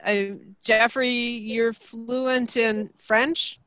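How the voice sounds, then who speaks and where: A woman leading a group video call, asking people questions and pausing with many fillers. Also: on the high side, flat delivery, fairly bright tone. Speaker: group facilitator.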